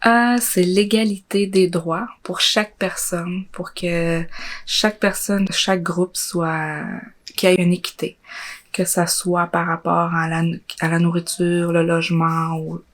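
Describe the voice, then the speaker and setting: A woman's voice: fairly low pitch, little pitch movement, a little slow. A young woman from Quebec's Côte-Nord with a Quebec French accent, giving personal testimony in an informal, hesitant way. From Côte-Nord, Quebec, Canada. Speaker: interviewee, social work student.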